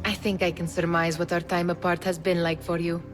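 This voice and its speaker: A woman's voice: fairly low pitch, flat delivery, brisk, close to an everyday voice. An actress playing a wry, determined young woman in a video game drama, speaking formally and with self-assurance. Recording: dramatic music behind the voice.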